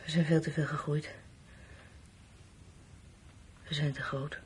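An actress playing a frail, dazed, ailing woman with a husky, breathy voice in a radio drama. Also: low-pitched, little pitch movement, a little fast, slight background noise, natural voice. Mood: dazed musing.

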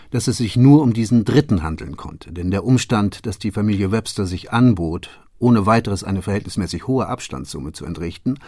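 An actor playing a shrewd detective in a German radio play, laying out his case in a deliberate, formal and precise manner. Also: on the low side, warm tone, dry recording, clean studio sound, natural voice. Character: shrewd, methodical male detective recounting his solved case.

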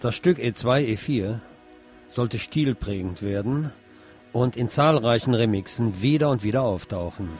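A man's voice: medium pitch, animated, measured pace. A man presenting a scripted radio feature as host. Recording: music behind the voice. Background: music.

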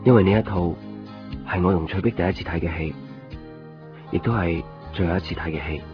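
A man's voice: deep voice, a little fast, close to an everyday voice. An actor playing a wistful young man with a Hong Kong Cantonese accent in reflective radio drama monologue. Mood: regretful. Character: wistful lovelorn young man recalling a film date. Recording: dull 1990s radio recording. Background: music.

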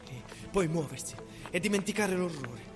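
Man playing exhausted hunted fugitive, fragmented brooding monologue, fairly high male voice, radio drama, music behind. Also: lively intonation, moderate pace, natural voice. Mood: haunted, exhausted. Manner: fragmented, brooding short phrases. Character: exhausted, hunted male fugitive survivor of a massacred peasant army.

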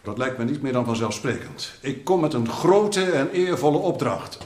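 A man's voice: mid-range voice, expressive, moderate pace, a little mellow, natural voice. A man acting a haughty, impatient militia captain in a radio drama, speaking in a pompous, curt way. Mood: indignant, pompous. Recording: roomy radio play sound.

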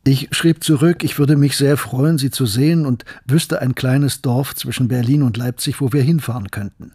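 A man acting as a reflective student who recalls his life in a measured, literary radio drama narration. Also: medium pitch, darkish tone, husky. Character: Gustav, reflective male mathematics student recalling his past. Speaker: narrator and protagonist. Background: music.

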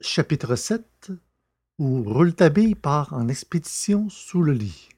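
Mellow, slightly husky male narrator. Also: fairly high pitch, big pitch swings, slow, dry recording, clean studio sound. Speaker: audiobook narrator. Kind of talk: scripted literary narration.

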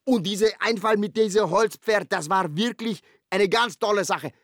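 A man's voice: high voice, moderate pace, fairly bright tone, dry recording, studio quality. A man playing an eager, vengeful local guide, chummy and repetitive in a comic radio play. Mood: chummy, emphatic. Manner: repetitive, emphatic, chummy broken grammar.

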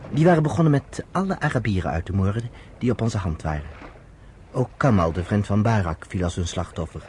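An actor playing a man who recounts history to a woman friend, in measured, explanatory radio-play narration, on a noisy old recording. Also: on the low side, expressive, a little mellow, close to an everyday voice. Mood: measured, serious.

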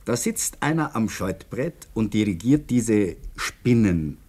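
An actor with an Austrian accent playing a philosophical dam construction worker in a 1950s radio drama, reflective and earnest. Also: male voice, lowish voice, big pitch swings, slow pace, dark tone, heavily acted. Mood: earnest, musing. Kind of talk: 1950s German-language radio drama acting.

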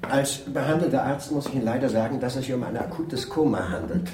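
A man's voice: mid-range voice, lively intonation, fast, natural voice. Radio play physician: man as clinical, grave, formal, roomy sound with guitar music. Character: formal hospital doctor delivering grave news. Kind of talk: radio drama acting. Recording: echoey room with music.

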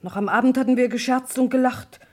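A woman's voice: high-pitched, steady tone, quick pace, lightly acted. A woman playing an earnest, grieving witness speaking to police in a German radio crime play. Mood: grave, sorrowful.

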